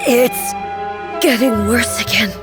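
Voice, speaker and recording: A woman playing a solemn, resolute ruler in an anime game, with a grave, formal and measured delivery. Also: a little high, over loud music. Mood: weary, resolute. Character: solemn, resolute female ruler, weary and ageless.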